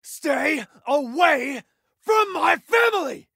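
A man's voice: extremely high pitch, animated, slow, bright, forceful tone, shouting, full character voice. An actor playing an intense, emotional father who is desperate to protect his grown daughter in a video game. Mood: urgent, desperate. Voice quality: raspy, gravelly. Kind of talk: dramatic video game voice acting.

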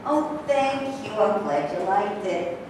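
A woman's voice: medium pitch, animated, slow pace. A mature woman playing a doting, worried mother with an emotive delivery in a staged play reading. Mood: motherly. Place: large bare indoor room. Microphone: far camera. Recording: echoey hall, distant camera microphone, noisy.

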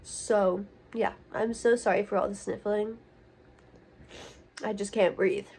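A young woman in college chatting casually to her phone camera in a relaxed vlog, upbeat and full of fillers. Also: medium pitch, takes it slowly, vocal fry, slight background noise. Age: young adult. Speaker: college student vlogger. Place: dorm room and outdoors. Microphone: phone held at arm's length.

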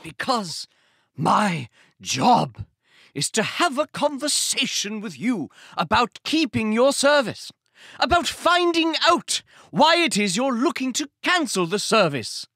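Melodramatic, halting, pushy call-centre agent. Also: male voice, very high voice, very animated, sharp tone, no room sound, studio quality, exaggerated voice. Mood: insistent, pleading. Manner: melodramatic, halting, drawn-out delivery. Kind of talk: mock-Shakespearean dramatic reading.

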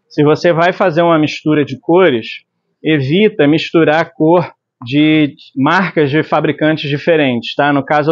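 A man in his forties from Brazil teaching screen printing in his workshop. He explains step by step while mixing inks and keeps checking in with the viewer. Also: a little high. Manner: explanatory, tag questions like "tá?". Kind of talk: hands-on tutorial. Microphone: lapel mic. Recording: slightly dull lo-fi sound.

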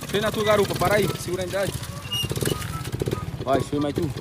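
A young adult man with a Northeastern Brazilian accent, talking in slangy, excitable vlog style with short repeated commands, outdoors on a phone. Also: high-pitched, a little fast, very noisy. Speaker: motovlogger. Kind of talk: casual vlog chat and riding instructions. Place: outdoors on an empty street by a motorbike. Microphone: phone at arm's length. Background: music, motorbike engine.

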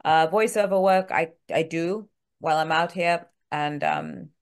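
A woman's voice: low-pitched, wildly swooping pitch, measured pace. A middle-aged woman whose first language is French, speaking English with a French accent as a guest in a frank, chatty way with many fillers, over a video call from home. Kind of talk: casual interview chat.

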